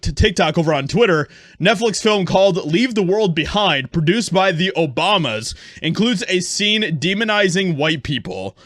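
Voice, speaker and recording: Higher-voiced man, scornful video commentator. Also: a bit bright, no room sound, studio quality. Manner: emphatic, scornful. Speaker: YouTube commentator.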